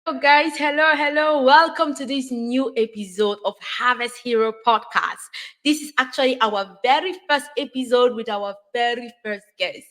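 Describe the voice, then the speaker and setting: A woman's voice: fairly high pitch, moderate pace, a bit bright. A woman hosting an interview podcast, effusive and repetitive as she addresses guest and listeners. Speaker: podcast host.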